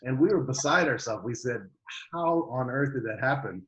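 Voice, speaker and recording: A middle-aged man speaking as an interview guest, telling personal stories in an animated, conversational way. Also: medium pitch, moderate pace, muffled recording. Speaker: guest, homeschooling parent.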